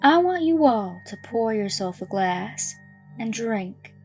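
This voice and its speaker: Woman as a menacing pious teacher, unhurried philosophical delivery, horror audio story, clear music underneath. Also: on the high side, expressive, a little mellow. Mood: coaxing, sinister. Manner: measured, preachy, philosophical. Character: sinister, pious female schoolteacher, calmly menacing.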